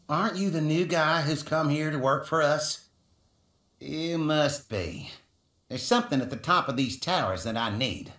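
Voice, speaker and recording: A pompous, condescending mine boss from a video game. He drawls slowly with big swoops of pitch, sneering and self-important, in a roomy echo over music. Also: a bit bright. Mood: smug, wheedling. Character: smug, arrogant miner boss, greedy and condescending. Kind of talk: video game character acting.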